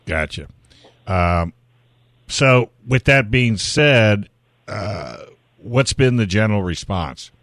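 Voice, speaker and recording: Relaxed man hosting. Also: lowish voice, takes it slowly, pauses often, dry recording, studio quality. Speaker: host. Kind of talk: casual interview talk.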